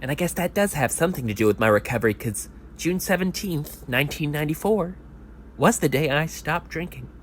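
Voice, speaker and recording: An actor playing a hopeless, wistful lifelong sports fan in an audio drama, halting and uncertain. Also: male voice, fairly high pitch, expressive, slight background noise, close to an everyday voice. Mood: wistful recollection. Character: lifelong hopeless Knicks fan, recovering drinker, wistful and defeated. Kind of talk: audio drama monologue and dialogue.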